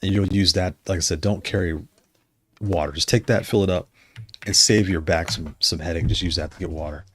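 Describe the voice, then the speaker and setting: A man chatting casually on a livestream, rambling and correcting himself as he goes, his voice going hoarse. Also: sits low, steady tone, brisk, slight background noise. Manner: rambling, self-correcting, conversational. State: losing his voice. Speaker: streamer discussing controllers.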